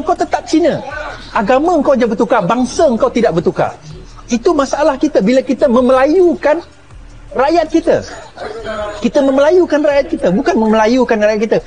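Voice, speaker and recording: A man's voice: very high voice, darkish tone. A Malaysian man giving an impassioned speech, repeating his key phrases with emphasis. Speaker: speaker on race and politics.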